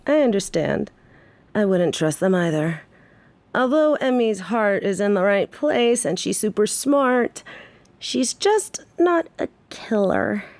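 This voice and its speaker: A woman's voice: mid-range voice, sing-song, slow, a little mellow, dry recording. A woman playing a loyal, confident female gangster in an audio drama, speaking with formal courtesy and eager devotion. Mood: admiring, courteous. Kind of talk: scripted audio roleplay acting.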